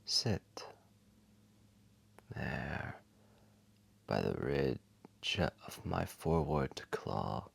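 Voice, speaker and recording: A man voicing an ancient, wise and weary dragon, telling a story intimately and grandly in a deep, breathy, gravelly voice with dramatic pauses. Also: a bit bright, full character voice. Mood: wry, inviting. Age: old. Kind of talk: slow intimate storytelling audio roleplay.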